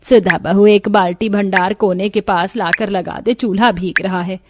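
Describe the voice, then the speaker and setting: A woman's voice: medium pitch, fairly even intonation, moderate pace, background noise, natural voice. A woman voicing a weary, practical older village mother-in-law in a cartoon story. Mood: urgent.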